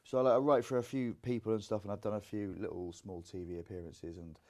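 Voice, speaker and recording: Young comedian guest, deep and dark-toned, chatty and filler-heavy. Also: male voice, fairly even intonation, brisk. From Grimsby, England. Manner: rambling, many fillers. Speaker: comedian and singer. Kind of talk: casual interview chat.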